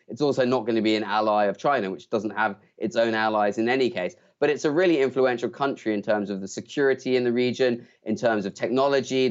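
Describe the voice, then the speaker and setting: A man speaking as an expert guest, giving analysis in a broadcast interview. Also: medium pitch. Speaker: think-tank expert guest. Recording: slightly dull, lo-fi sound.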